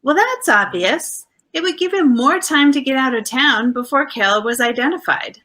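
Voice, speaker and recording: An actress playing a detective's loyal, insistent wife in 1940s radio style, emphatic and pleading. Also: female voice, high-pitched, lively intonation, moderate pace, bright, forceful tone, full character voice. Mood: urgent, insistent.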